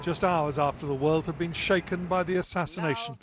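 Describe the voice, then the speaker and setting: A man's voice: fairly high pitch, steady tone, fairly quick, over music. A male news correspondent narrating a scripted television report.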